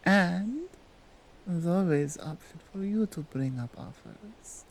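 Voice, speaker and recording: A woman voicing a dominant yet tender female Krampus in an ASMR roleplay, with a Nordic accent and halting, deliberate broken English. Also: fairly low pitch, expressive, a little mellow, a little hiss or hum. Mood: coaxing, gentle. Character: dominant, tender, seductive female Krampus, otherworldly gift-bringer.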